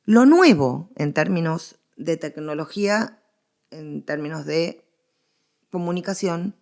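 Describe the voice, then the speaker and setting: Middle-aged Argentine woman, deep gravelly register, emphatic academic interviewee who explains conversationally. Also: animated, slow, darkish tone. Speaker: guest historian interviewee.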